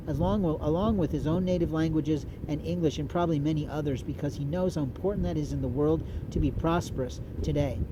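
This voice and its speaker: An older man from the United States, a podcaster delivering a blunt, profane, repetitive rant. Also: fairly high pitch. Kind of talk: angry ranting monologue. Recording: noisy outdoor street recording.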